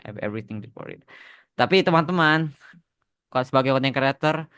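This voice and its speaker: A man's voice: medium pitch, lively intonation, fairly quick, fairly bright tone, clean tone, no room sound. An Indonesian man hosting a casual podcast chat, dropping English phrases into his speech. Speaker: podcast host and content creator.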